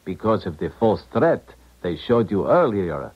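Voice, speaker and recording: An actor playing a calculating, formal defector in a 1970s radio drama. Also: male voice, deep voice, very animated, slightly rough, heavily acted. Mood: calculating, guarded. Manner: formal, deliberate phrasing. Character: calculating foreign male defector, formal and smooth-tongued schemer. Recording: dull, muffled 1970s radio recording.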